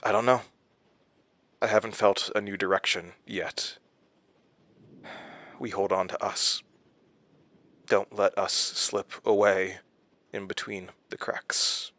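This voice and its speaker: An actor playing a haunted, weary, wry man who confides his story haltingly in a horror audio drama. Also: lowish voice, level tone, a bit bright, no echo. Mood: subdued, tender. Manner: hesitant, confessional, halting. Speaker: lead character and narrator of a horror audio drama. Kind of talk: horror audio drama acting, narration and intimate dialogue.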